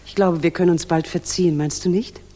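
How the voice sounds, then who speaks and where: An actress playing a caring, devoted pilot's wife in a 1960s German radio drama. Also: female voice, fairly low pitch, fairly even intonation, brisk, warm tone, natural voice. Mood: tender, concerned. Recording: reverberant hall, faint background noise.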